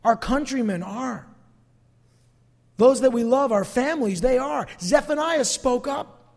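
A man's voice: very high voice, measured pace, gravelly. A man preaching a sermon as a pastor, emphatic yet conversational, repeating phrases for emphasis. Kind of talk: sermon to a congregation, closing in prayer. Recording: long hall reverb.

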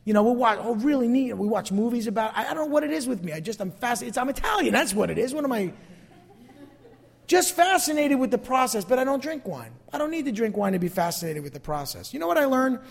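High-voiced male preacher in a reverberant hall. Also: very animated, fast, vocal fry, husky. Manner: emphatic, conversational, repeats phrases for emphasis. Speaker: pastor preaching. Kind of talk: sermon to a congregation, closing in prayer.

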